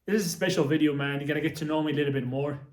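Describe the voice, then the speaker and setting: A man's voice: fairly high pitch, very fast, a little mellow. A young man from Morocco telling his life story casually to the camera, repeating himself and swearing now and then. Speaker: vlogger, coach. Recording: echoey room.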